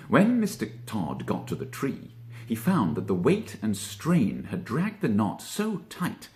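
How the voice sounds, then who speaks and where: A man reads a children's tale like a stage actor. His low, gravelly voice swoops widely in pitch. He is expressive and deliberate, stretching key words for effect. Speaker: storybook reader, stage actor. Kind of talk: theatrical children's story narration.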